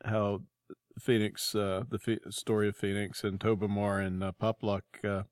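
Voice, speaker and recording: Male interview host, fairly low voice, dry close sound, casual and halting. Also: little pitch movement, smooth, no echo. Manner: halting, searches for words.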